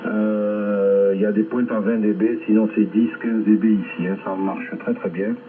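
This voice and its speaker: A man chatting casually as an amateur radio operator over a shortwave link, rambling hesitantly with long filled pauses. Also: lowish voice, flat delivery, phone-quality sound, background noise. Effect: shortwave ham radio transmission. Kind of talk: casual ham radio contact chat. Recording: band-limited shortwave radio reception.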